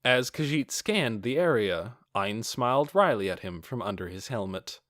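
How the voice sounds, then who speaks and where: A man's voice: medium pitch, animated, moderate pace, a bit bright, no room sound, studio quality. A man reading scripted fantasy narration as an audiobook. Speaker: audiobook reader.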